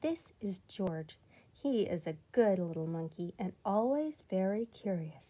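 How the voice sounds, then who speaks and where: A woman reading a children's picture book aloud at home, slow and expressive, with some lines dropped to a whisper. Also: medium pitch. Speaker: storybook reader. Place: home, indoors.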